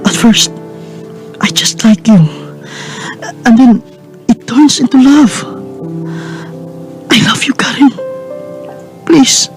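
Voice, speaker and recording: A man's voice: very high voice, slow pace, pauses often, faint music behind, heavily acted. An actor playing an earnest lovesick man in a Filipino radio drama, with a Cebuano accent and an emotional, pleading delivery. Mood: desperate pleading. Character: lovesick earnest man pining for his former housemaid.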